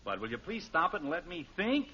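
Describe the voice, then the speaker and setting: A man's voice: a little high, huge pitch swings, fairly quick, pushed and bright, full character voice. Exasperated American sitcom father, middle-aged, insistent, swooping delivery, on a dull, noisy vintage radio recording. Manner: flustered, insistent, irritable. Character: exasperated, forgetful, stubborn family father. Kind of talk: 1950s radio sitcom acting.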